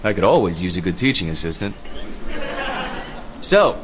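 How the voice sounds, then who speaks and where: An actor in a radio play playing a captivating history professor who lectures with measured, theatrical intensity. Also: male voice, deep voice, very animated, a crowd in the background, noisy background. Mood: genial, teacherly.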